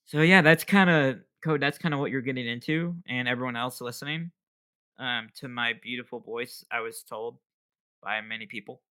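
Young male podcast host, casual rambling delivery, bright tone, self-deprecating. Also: medium pitch, moderate pace, clean tone. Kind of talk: casual solo podcast chat.

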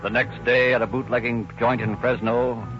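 A man narrating a 1930s radio crime drama in a measured, formal way. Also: medium pitch, little pitch movement. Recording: dull old radio recording over music.

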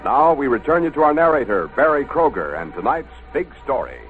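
A man's voice: mid-range voice, sing-song, fairly quick. An American man reading a 1940s radio commercial in a polished, declamatory announcer style. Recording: tinny old radio with music.

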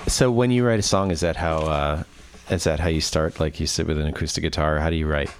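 A male radio host with a very deep voice asks eager, curious questions in a casual way. He strings several questions together and keeps the talk loose and chatty. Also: steady tone, brisk, a little hiss or hum. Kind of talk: casual interview chat.

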